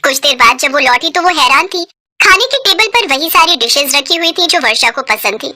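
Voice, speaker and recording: Female story narrator, high and very bright, dry studio-like sound. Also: expressive, brisk, no echo.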